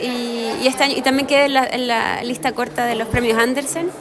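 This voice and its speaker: Chilean woman, higher voice, crowd behind. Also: steady tone, a little fast. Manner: reflective, thinks aloud with many fillers and restarts. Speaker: guest illustrator and author. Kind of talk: casual conversational interview. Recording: crowd chatter in the background. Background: crowd chatter.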